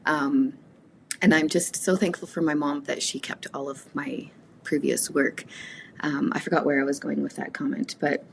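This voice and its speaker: Low gravelly woman, Cree native speaker. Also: fairly even intonation, normal speed, slight background noise, rough recording. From Canada. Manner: reflective, frequent ums and restarts. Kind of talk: casual conversational interview.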